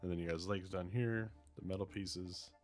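Man with a raspy cold-worn voice. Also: low-pitched, a little fast, faint music behind. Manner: relaxed, many ums and uhs. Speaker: toy reviewer. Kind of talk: casual unboxing review.

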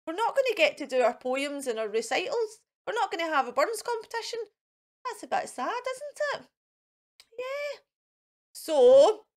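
A Scottish woman plays fairy host for young children. Her voice sits high and swoops widely, at a slow, deliberate pace. She coaxes with questions and praises in an exaggerated sing-song way. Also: a bit bright. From Scotland. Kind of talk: playful children's presenting to camera. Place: indoors in front of a fairy backdrop.

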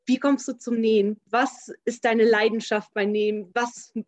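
A woman's voice: a little high, steady tone, moderate pace, a little hiss or hum. A woman in her thirties hosting a casual video-call interview, chatty and enthusiastic with many fillers. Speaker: interview host. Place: small home room with shelves. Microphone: webcam on a video call. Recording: dull, dry video-call audio.

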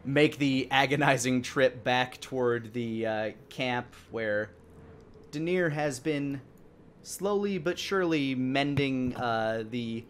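A man in his thirties narrating a tabletop role-playing game as game master, in a halting, hesitant way. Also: medium pitch. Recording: clear background noise.

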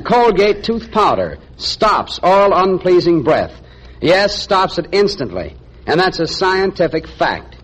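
A man's voice: on the high side, breathy edge, rough recording. An American man announcing on 1940s radio, reading a scripted sponsor's commercial in the sales style of the era. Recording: 1940s radio broadcast recording.

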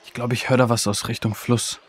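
A man playing a kind, protective young friend, speaking gently and sincerely in a children's audio drama. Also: mid-range voice, little pitch movement, a little fast, husky, natural voice. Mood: calm.

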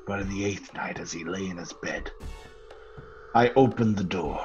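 A man's voice: low voice, normal speed, close to an everyday voice. A man playing a nervous, obsessive murderer confessing in an old-time radio horror style, intense and lingering over words. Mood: sinister, secretive. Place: indoor home room, group video call. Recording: dull sound, music underneath.

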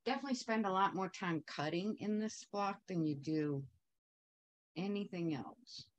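A woman's voice: mid-range voice, expressive, moderate pace, lo-fi sound. A woman teaching a quilting technique in a chatty, upbeat way, wandering into asides while she sews. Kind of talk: casual sewing tutorial.

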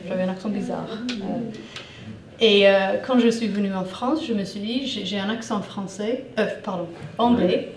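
A woman in her forties from northern England with a Manchester accent, speaking French as a guest in a public talk, calm and reflective with hesitations. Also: medium pitch, normal speed. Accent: English, Manchester. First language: English. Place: small lecture room. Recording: roomy, distant, audience present.

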